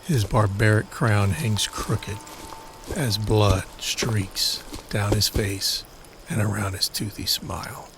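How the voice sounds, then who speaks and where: A man narrating a scripted crime-horror audio drama in a measured, deliberate storytelling manner. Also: fairly low pitch, steady tone, background noise.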